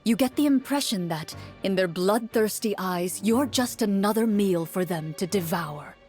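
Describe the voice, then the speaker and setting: A woman's voice: medium pitch, expressive, moderate pace, over music. A woman giving scripted second-person storytelling narration for a video game.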